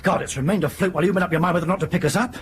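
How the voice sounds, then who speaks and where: An actor playing a courteous but exasperated airline captain in a radio drama, clipped and dryly sarcastic. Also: male voice, high-pitched, very fast, slight background noise, lightly acted. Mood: indignant, sarcastic.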